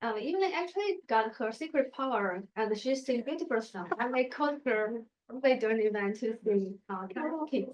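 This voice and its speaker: A woman's voice: fairly high pitch, lively intonation, brisk, hardly any pauses, background noise. A woman moderating a panel and introducing the speakers informally. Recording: dull lo-fi sound.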